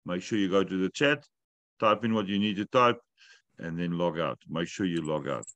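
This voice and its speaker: A middle-aged man, not a native English speaker, talks through a video call from home in a fairly low, dry-sounding voice. He jokes with the group and then explains the steps at length. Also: moderate pace, a bit bright, no room sound. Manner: talkative, joking, instructive. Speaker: host of a weekly update call. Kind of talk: casual video call chat. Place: room at home.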